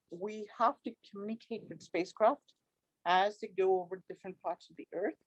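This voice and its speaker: A woman's voice: on the low side, no room sound, poor audio. Woman on a video call, keen and chatty, lots of ums, dry sound. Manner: enthusiastic, explanatory, with fillers. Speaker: event participant. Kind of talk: casual virtual panel remarks.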